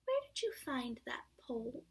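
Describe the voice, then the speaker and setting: A woman's voice: high-pitched, big pitch swings, a little slow, breathy edge, heavily acted. Woman doing an earnest young boy. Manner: earnest, solemn.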